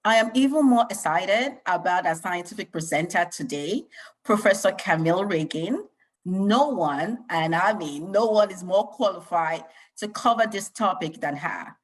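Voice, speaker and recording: A middle-aged woman opens and hosts an interview segment. She speaks with emphatic enthusiasm, and her voice sits on the lower side. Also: animated, moderate pace. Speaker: host. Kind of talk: hosting an interview.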